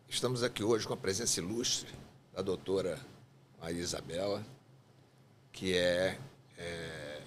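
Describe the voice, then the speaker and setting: A man's voice: low-pitched, a bit bright, slight background noise. A man hosting a podcast interview, introducing his guest in long, rambling sentences with hesitations. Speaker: podcast host, association president.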